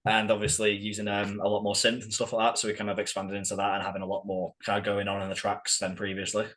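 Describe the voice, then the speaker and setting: A man's voice: fairly low pitch, monotone, quick pace, a bit bright. A man chatting casually as an interviewed band member, blunt, jokey and sweary. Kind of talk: casual chat.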